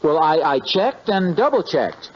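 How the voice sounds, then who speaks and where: A man's voice: fairly high pitch, very animated, a little slow. An American man acting a bumbling, easily flustered suburban husband in a 1950s radio comedy, with a hesitant, stammering delivery. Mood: flustered, insistent. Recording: muffled, noisy vintage radio recording.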